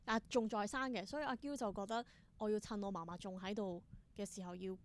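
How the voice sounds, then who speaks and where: Woman, theatre performer as interview guest. Hong Kong Cantonese accent, mid-pitched, casual, enthusiastic storytelling sprinkled with English. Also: fairly even intonation, quick pace.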